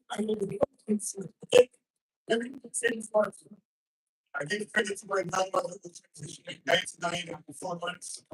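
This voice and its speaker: A man's voice: sits high, slightly rough, poor audio. A man from the audience making an impassioned public comment, pressing his points with repeated "okay?". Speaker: audience member asking a question.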